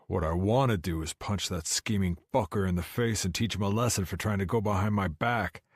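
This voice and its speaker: A man's voice: low-pitched, brisk, no echo, studio quality. A man playing a driven, hot-tempered finance executive in first-person audiobook narration, intense and blunt. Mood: angry, restless.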